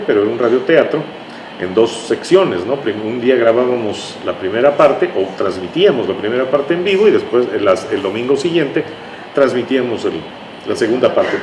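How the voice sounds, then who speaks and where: A man with a Mexican accent speaking as an interview guest, telling anecdotes conversationally and often ending with tag questions. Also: medium pitch. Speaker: interview guest, writer recalling his radio work. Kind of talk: conversational reminiscing. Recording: echoey room, noisy ambient hum.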